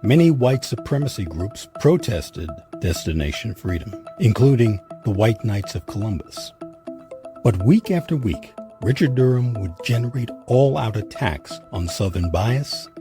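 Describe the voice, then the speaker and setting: Over clear background music, a man narrates a scripted documentary. His fairly low voice moves expressively up and down, measured and emphatic.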